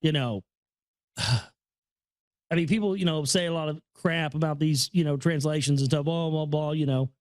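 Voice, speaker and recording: A man's voice: fairly high pitch, fairly even intonation, moderate pace, dry recording, studio quality. A man giving a casual running commentary on a book he is reading aloud, rambling and stammering, repeating phrases with earnest awe. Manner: awed, earnest. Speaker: reader and commentator.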